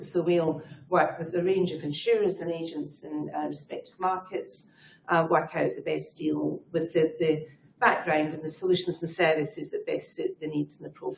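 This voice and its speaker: A woman's voice: sits low, creaky, slight background noise. A middle-aged Scottish woman who talks in a chatty, fluent and self-deprecating way as an interview guest, sounding like she is in an echoey room. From Scotland. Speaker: guest, head of people advisory. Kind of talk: conversational interview answers.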